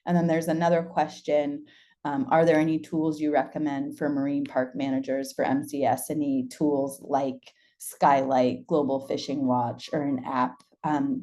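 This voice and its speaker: A woman's voice: sits low, dark tone. A woman with a friendly, encouraging manner, hosting and moderating an online question-and-answer session. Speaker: webinar host and moderator. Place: online video call.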